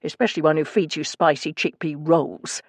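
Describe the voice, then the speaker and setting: Grumpy teasing landlord guy, slow drawl, , lady narrator doing him. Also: sits low, steady tone, dry recording. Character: teasing grumpy man, a landlord and young father.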